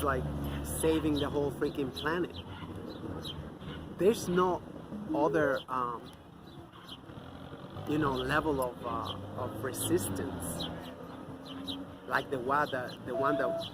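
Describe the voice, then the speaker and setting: A man's voice: mid-range voice, slow pace, long pauses, very noisy. Angry man ranting outdoors. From Mexico. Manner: angry, profane, scornful. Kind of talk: off-the-cuff ranting monologue.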